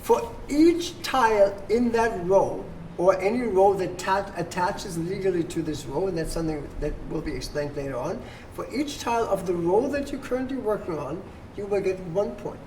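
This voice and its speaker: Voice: mid-range voice, animated, normal speed, darkish tone. Patient board game teacher, some background noise. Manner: patient, instructive, checks understanding. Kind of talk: explanatory walkthrough to players at a table. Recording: noisy room with background ambience.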